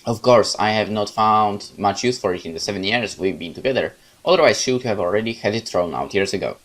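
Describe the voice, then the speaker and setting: A man reading a visual novel aloud as a let's player, in expressive, dramatic first-person narration with casual asides. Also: on the low side, fairly quick.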